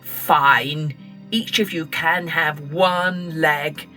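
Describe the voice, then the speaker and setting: A woman doing a booming old temple guardian. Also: fairly even intonation, extremely slow, sharp tone, soft background music, exaggerated voice. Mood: amused. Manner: booming and commanding. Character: loud old male temple guardian, gruff and bossy.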